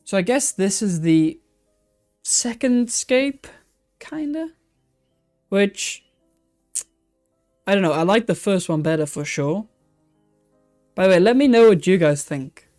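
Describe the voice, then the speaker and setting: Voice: mid-range voice, lively intonation, takes it slowly, pauses often, a little mellow, soft background music. A live-stream host chatting casually while reacting to old aquarium videos, thinking aloud with many restarts and answering viewer comments.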